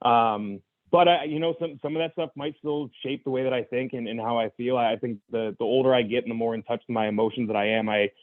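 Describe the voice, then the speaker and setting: Fast-talking young man on a tinny line. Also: mid-range voice, steady tone, no room sound. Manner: earnest, reflective, full of restarts and "you know". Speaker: guest. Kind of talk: candid personal story in conversation.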